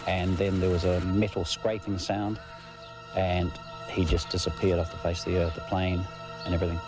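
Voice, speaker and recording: A man's voice: deep voice, level tone, moderate pace, over music. A man recounting events in a documentary interview, hesitant and listing details phrase by phrase.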